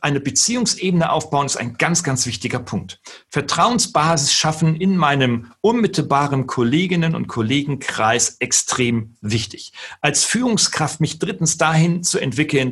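Husky middle-aged man. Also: fairly high pitch, fairly bright tone. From northern Germany. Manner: earnest, emphatic, slows down on key words. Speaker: keynote speaker and lecturer. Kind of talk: spoken talk on leadership.

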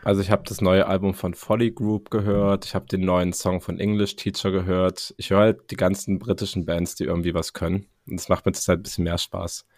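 A man's voice: low voice, little pitch movement, a little fast, few pauses, darkish tone, dry recording, studio quality. A man co-hosting a podcast, chatting casually about music in slangy, colloquial speech. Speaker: podcast co-host. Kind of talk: casual music review chat.

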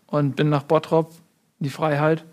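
A man playing a muddled, rambling fortune cookie writer in a deadpan comedy interview. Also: fairly high pitch, normal speed, lightly acted. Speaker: mock interview guest. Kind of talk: improvised comedy sketch.

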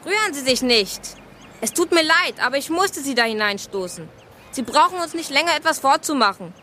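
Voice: sits high, animated, brisk, sharp tone. An actor playing a polite but firm child adventurer in a German children's audio drama, apologetic while making threats. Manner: apologetic yet threatening, earnest. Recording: noisy archive radio play with effects.

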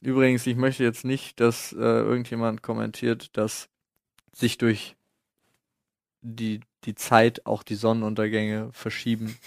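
A young man speaks at a middle pitch in casual, joking conversation. He hosts with a tongue-in-cheek, nitpicking manner. The sound is dry and close. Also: monotone, unhurried, vocal fry, no room sound. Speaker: podcast host.

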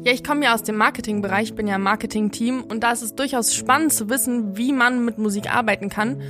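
A woman's voice: on the high side, fast talker, over music. A young adult woman co-hosting a podcast, chatting casually and presenting. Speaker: podcast co-host.

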